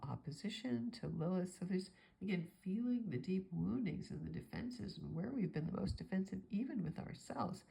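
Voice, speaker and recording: A woman's voice: deep voice, creaky, a little mellow, rough recording. A woman astrologer with a stuffy nose from a cold, talking through a forecast in a meandering, thinking-aloud way.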